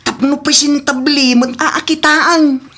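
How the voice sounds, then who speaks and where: A man's voice: very high-pitched, steady tone, a little slow, pushed and bright, exaggerated voice. A man playing a boastful, goofy, giggly buddy in a comedy radio drama, exaggerated, with a Filipino accent.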